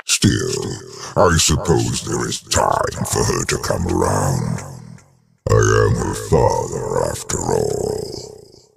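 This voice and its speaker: A deposed old tyrant from a video game, grandiose and full of scorn. His bass voice crackles with gravel and swings theatrically, ringing in a long reverb. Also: animated, heavily acted. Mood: smug. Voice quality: gravelly, booming. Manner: pompous, theatrical, drawn-out delivery. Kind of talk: video game villain acting. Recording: roomy long reverb over music.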